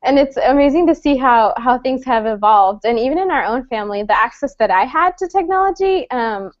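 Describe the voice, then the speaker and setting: Brief: woman in her thirties from El Salvador, friendly panel moderator, slightly high voice, lo-fi dry recording. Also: lively intonation, fairly quick, no room sound. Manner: friendly, chatty, many fillers and restarts. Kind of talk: online panel discussion. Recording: dull lo-fi dry sound.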